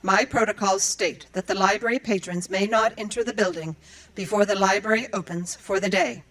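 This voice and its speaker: A woman's voice: mid-range voice, normal speed, a bit bright, close to an everyday voice. A woman playing a dutiful, earnest android librarian in an audio drama, speaking in formal, precise, procedural phrases. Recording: music behind the voice. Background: music.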